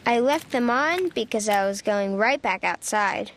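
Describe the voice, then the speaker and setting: A woman's voice: medium pitch, animated, unhurried, slight background noise. A woman narrating a children's storybook expressively, voicing an earnest, forgetful little boy critter with a childlike manner. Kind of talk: expressive children's read-aloud in a young child character's voice.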